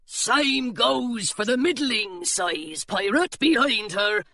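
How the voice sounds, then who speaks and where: A burly male pirate doorman, put on by a woman reading a storybook, drawling each word slowly in old pirate talk. The voice rides high and loud for a big man, with a lively swing. It is recorded dry and close. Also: no echo, full character voice. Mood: gruff.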